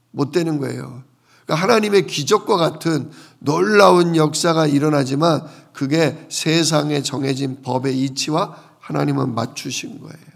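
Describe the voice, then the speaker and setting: Guy pastor preaching, kinda high voice w lots of gravel, explains stuff by asking questions. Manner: explanatory, asks the congregation rhetorical questions and answers them. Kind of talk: conversational Bible sermon.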